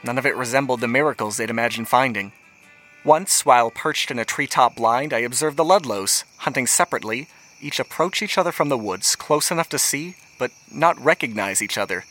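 An actor playing a wistful wandering man who narrates a letter in a measured, literary, reflective manner. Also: mid-range voice, lively intonation, fairly bright tone, faint music behind, close to an everyday voice, poor audio. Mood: wry, disillusioned. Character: wistful wandering man, mystery lover writing to his brother. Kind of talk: scripted dramatic letter narration.

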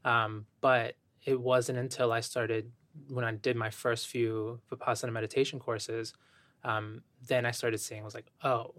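A young man from Ecuador speaking as a podcast guest, soft-spoken and thoughtful, with many fillers as he reflects. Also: medium pitch, little pitch movement, a little slow, no echo. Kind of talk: reflective interview talk.

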